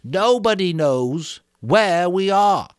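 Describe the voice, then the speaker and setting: A man's voice: high voice, expressive, slow pace, no room sound, clean studio sound, voice pushed up. A man reading a novel aloud, playing an anxious, indignant schoolboy know-it-all with a pointed, scolding manner. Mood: indignant, urgent. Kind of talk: amateur dramatic reading of a novel.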